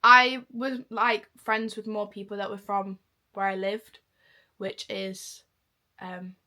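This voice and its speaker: A woman's voice: mid-range voice, level tone, slow pace, pushed and bright. A young woman from Manchester chatting casually in a reaction vlog, mocking herself as she goes. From Manchester, England. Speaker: vlogger.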